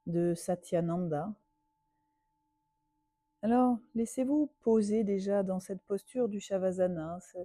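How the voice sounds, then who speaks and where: A woman yoga teacher leading a guided relaxation meditation in a soothing, unhurried manner, leaving long pauses. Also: fairly low pitch, dark tone, no room sound.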